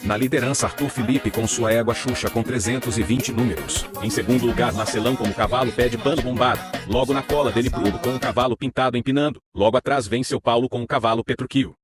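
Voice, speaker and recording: Male announcer, mid pitch, playful race-call patter over clear music. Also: steady tone, fast talker, hardly any pauses. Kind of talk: horse-race style commentary.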